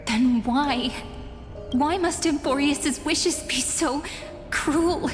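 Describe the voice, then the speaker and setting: Video game heroine: young, mournful, solemn and wistful, unhurried delivery, music underneath. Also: female voice, sits high. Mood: anguished, questioning. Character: sorrowful young heroine of a doomed fantasy world. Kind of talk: dramatic video game voice acting. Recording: long reverb with music behind.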